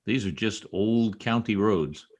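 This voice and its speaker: A man in an old-time radio play, acting a protective, brusque young state trooper with a gruff, commanding manner. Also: fairly low pitch, measured pace, a little mellow. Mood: brusque, guarded. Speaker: amateur radio play actor. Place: home, over a video call.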